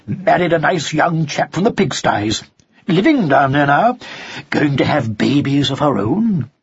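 A fatherly storybook tomcat, played by a man, gruff yet affectionate. The voice is a very raspy growl, closely and dryly recorded with a slightly dull sound. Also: a little high, very animated, unhurried, no echo, heavily acted. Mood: reassuring. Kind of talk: children's storybook character voice.

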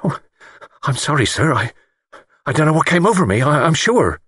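Man playing a rough young chauffeur, high-pitched and husky. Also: moderate pace, dry recording, studio quality. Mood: frightened, stammering. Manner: loose-tongued, rough, colloquial. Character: insolent, tipsy young working-class male chauffeur.

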